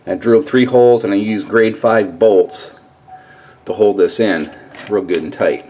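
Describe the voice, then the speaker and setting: Low-voiced folksy man, noisy recording. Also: unhurried, darkish tone. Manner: folksy, colloquial. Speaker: DIY vlogger. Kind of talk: casual hands-on walkthrough narration. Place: in and around a pickup truck at a garage. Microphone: handheld camera mic. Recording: noisy handheld camcorder audio.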